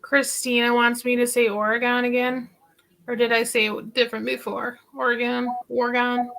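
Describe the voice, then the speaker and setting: A woman's voice: fairly high pitch, level tone, normal speed, creaky, a bit bright. A woman hosting a casual livestream chat about vintage finds, rambling with lots of filler words. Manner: rambling, heavy use of "like" fillers. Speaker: host of a vintage thrifting channel.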